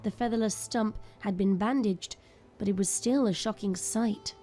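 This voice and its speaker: A woman narrates an audio drama in a warm, dark-toned voice of medium pitch. The delivery is scripted, dramatic storytelling, with faint music behind it. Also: normal speed.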